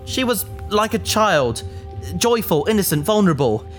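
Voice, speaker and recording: An actress playing an earnest, anxious and emotional sci-fi crew member, speaking emphatically in an audio drama. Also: female voice, mid-range voice, sing-song, moderate pace, soft background music, close to an everyday voice. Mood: grieving, angry.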